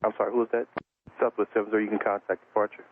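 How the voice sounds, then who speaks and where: Male air traffic controller, deep and fast, procedural and matter-of-fact, heard through a tinny radio link. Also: level tone. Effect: aviation radio. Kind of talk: clipped procedural radio instructions. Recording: narrow tinny radio transmission, faint static.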